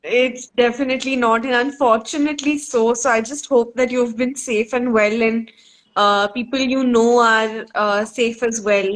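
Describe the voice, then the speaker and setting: A woman hosting a live online interview over a video call, asking her questions in a polite, measured way. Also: fairly high pitch, fairly even intonation, rough recording. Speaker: host of a live online discussion. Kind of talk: casual live-stream interview.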